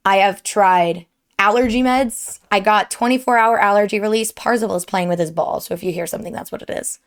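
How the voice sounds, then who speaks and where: A young woman with a sore, strained throat giving an earnest, rambling update to her viewers from her desk at home. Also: medium pitch. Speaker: streamer and YouTuber. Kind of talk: candid personal update to viewers.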